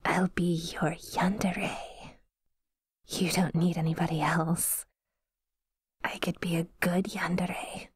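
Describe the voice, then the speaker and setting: A woman playing a possessive, menacing, teasing yandere demon in a breathy, whispered ASMR roleplay, slow and intimate. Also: on the low side, level tone, no room sound, clean studio sound, exaggerated voice. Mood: possessive, gloating.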